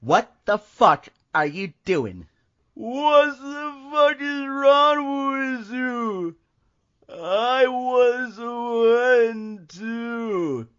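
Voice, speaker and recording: A man's voice: very high voice, expressive, very slow, fairly bright tone, smooth, lo-fi sound, voice pushed up, full character voice. A man voicing a stoned, barely coherent, bewildered man with slurred speech in an audio drama. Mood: slurred, agitated.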